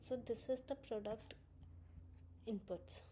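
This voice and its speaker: A woman presenting an informal software walkthrough, explaining step by step in halting phrases. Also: fairly high pitch, slight background noise. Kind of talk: informal screen-walkthrough tutorial narration.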